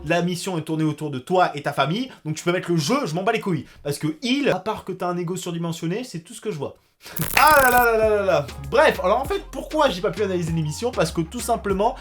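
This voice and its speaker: Fast, animated young man mocking a show. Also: high voice, faint music behind. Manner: animated, sarcastic, jumps between loud outbursts and mumbled asides. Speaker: YouTube commentator. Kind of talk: casual reaction commentary.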